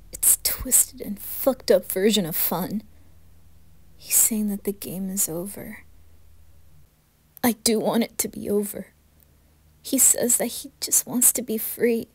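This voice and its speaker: A woman narrating a first-person horror story with dramatic, anguished intensity and a breathy delivery. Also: mid-range voice, a little mellow, no room sound.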